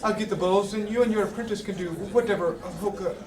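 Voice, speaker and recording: A man's voice: high-pitched, steady tone, quick pace, distant mic, lightly acted. A man playing a jovial, folksy and eager-to-please innkeeper in a fantasy audio drama. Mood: obliging. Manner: folksy, effusive, slightly flustered. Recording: roomy echo, off the mic.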